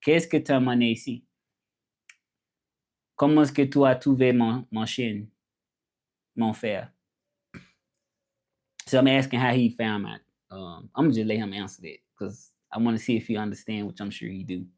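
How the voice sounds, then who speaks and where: A man's voice: medium pitch, steady tone, normal speed, pauses often, a little mellow. A man from the American South with a Southern accent, chatting casually on a livestream as its host, colloquial and often repeating his phrases. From southern United States. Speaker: livestream host, language content creator. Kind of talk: casual livestream chat, switching between English and French.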